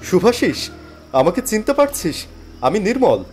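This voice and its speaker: A man playing a weary, penniless job seeker who tells his story in expressive, plaintive dramatic narration. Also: high voice, a little slow. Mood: eager, excited. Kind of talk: first-person dramatic story narration and character dialogue. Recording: music behind the voice. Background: background music.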